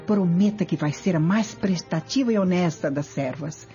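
An actress playing a vengeful, scheming Roman noblewoman in a radio drama, speaking imperiously and deliberately. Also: fairly low pitch, warm tone, soft background music, natural voice. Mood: commanding, calculating.

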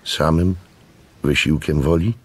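An actor playing a thoughtful witness who narrates a radio drama in a dramatic scripted style. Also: male voice, extremely low pitch, slow, slightly rough, heavily acted. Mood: thoughtful, doubting. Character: thoughtful male narrator, sceptical yet impressed witness.

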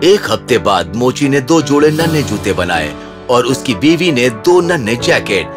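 Male storyteller for children's fairy tales: lively, expressive intonation, clear music bed underneath. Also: mid-range voice. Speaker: narrator. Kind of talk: scripted children's fairy tale narration.

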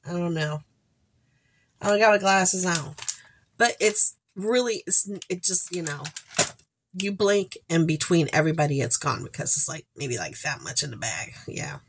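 A woman's voice: low voice, expressive, moderate pace, slight background noise. A woman in her forties, congested, chatting casually to camera as host of her shopping haul vlog from a room at home. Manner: chatty, rambling, upbeat asides. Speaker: haul vlogger, channel host. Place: home room with shelves, indoors.

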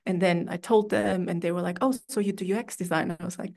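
A young woman from Austria, an English speaker, telling her story in a relaxed, chatty way as an interview guest over a video call from a room at home. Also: fairly low pitch, fast talker, gravelly. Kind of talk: casual interview chat.

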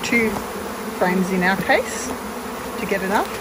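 A woman's voice: medium pitch, lively intonation, unhurried. A woman giving a casual, unscripted step-by-step beekeeping demonstration in a practical way. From Australia. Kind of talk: casual hands-on tutorial narration. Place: outdoors in a garden among beehives. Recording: clear background noise of buzzing insects. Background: bees buzzing, outdoor ambience.

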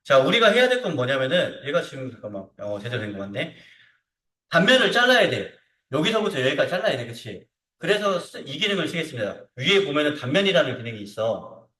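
Male CAD tutor, lively swinging intonation and fairly high voice; informal, checks in often. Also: quick pace. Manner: informal, frequently seeks confirmation with short tag questions. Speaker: CAD instructor. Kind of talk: hands-on software tutorial to students. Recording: roomy echo, distant mic.

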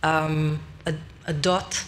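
Audience woman, native Hebrew speaker, low voice. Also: level tone, slow pace, fairly bright tone, a little hiss or hum. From Israel. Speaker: audience member asking a question. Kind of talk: audience comment. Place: large indoor hall. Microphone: throwable audience mic.

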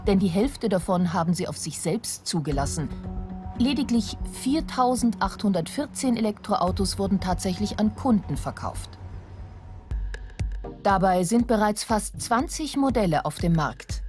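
Female voice-over, mellow and steady, documentary read over faint music. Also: medium pitch. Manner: even, informative. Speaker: documentary narrator. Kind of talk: scripted narration.

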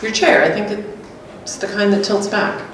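A woman's voice: medium pitch, fairly even intonation, moderate pace, lightly acted. Woman reading as a chatty companion in an echoey room. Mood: fussing, attentive. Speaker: performer reading a script. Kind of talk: seated staged reading of a dialogue script. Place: small hard-walled gallery room, indoors. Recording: very echoey room, distant, faint noise.

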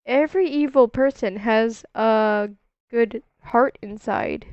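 A woman's voice: a little high, slow, clear, resonant tone, no echo, dull audio. A woman voice acting a scripted roleplay story. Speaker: creator narrating and voicing characters in Roblox roleplay stories.

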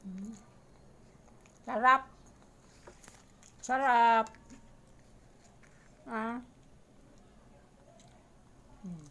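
Filipina lady middle aged, slow n kinda high voice, eating and chatting in short bits on her phone. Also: fairly bright tone. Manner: short phrases while eating, repetitive. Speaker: vlogger. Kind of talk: casual mukbang chat. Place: small indoor room at home. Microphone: phone at arm's length.

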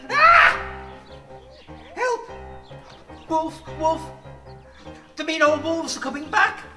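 Woman playing a high-voiced, mischievous shepherd boy. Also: sing-song, takes it slowly, bright, forceful tone, far from the mic, full character voice. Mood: alarmed, calling out. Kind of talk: radio play acting. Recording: echoey room, off mic, music behind.

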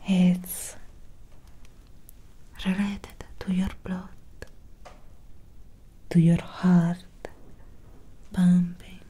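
A young woman playing a courteous, faintly menacing Victorian vampire doctor in a breathy whispered ASMR roleplay, speaking formally and deliberately. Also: on the low side, level tone, dark tone, exaggerated voice. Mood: calm, faintly menacing. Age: young adult. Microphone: close sensitive microphone.

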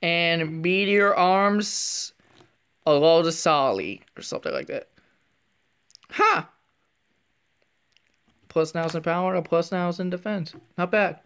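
A man quietly narrating a trading card pack opening, half whispering as he reads card names and comments on them, recorded indoors at a table on a phone camera. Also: a little high, slow, pauses often, smooth. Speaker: card unboxing youtuber.

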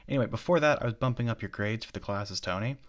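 A man in an ASMR roleplay playing an obsessive, possessive young stalker, with an intimate, halting delivery that slips into breathy whispers. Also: on the low side, close to an everyday voice. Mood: confessional, rambling.

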